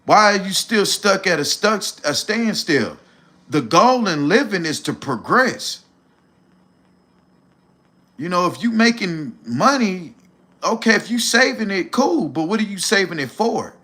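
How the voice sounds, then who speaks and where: A man's voice: sits high, very animated, unhurried, bright, forceful tone. A man, talking bluntly and preachily on a casual livestream, swearing freely and calling the listener "bro". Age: 40s. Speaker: livestream host.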